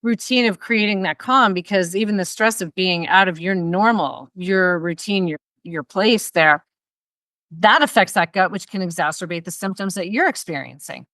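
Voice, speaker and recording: A woman's voice: fairly low pitch, a bit bright, dry recording. A woman with a Northern US accent hosting a podcast, chatting casually, affirming often and swearing lightly. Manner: affirming, quick to laugh, salty language. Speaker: podcast host.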